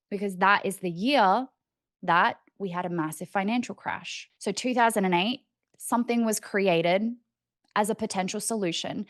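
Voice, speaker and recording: A woman's voice: mid-range voice, no echo, clean studio sound. A young Australian woman, in her twenties or thirties, explaining things in a casual, conversational way as she thinks aloud. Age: 20s to 30s. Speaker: financial educator on cryptocurrency.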